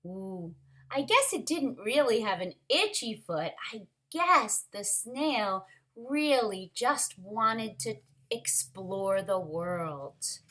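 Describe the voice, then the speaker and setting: A woman reading a picture book aloud to young children in an expressive, deliberate way, with drawn-out words and little asides to the listeners. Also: medium pitch. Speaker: storytime reader, teacher.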